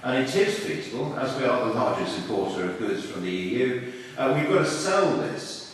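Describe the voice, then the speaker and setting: Man umming through a hustings pitch, voice far off in a reverby hall. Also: big pitch swings, moderate pace, slight background noise. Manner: hesitant, with ums and asides. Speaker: party leadership candidate. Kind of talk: hustings speech. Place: public meeting hall.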